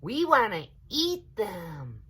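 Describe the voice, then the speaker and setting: A squabbling little storybook alligator child, acted by a woman, eager and indignant. The pitch is lifted and very lively, and every protest is emphatic and childlike. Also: slow, husky, full character voice.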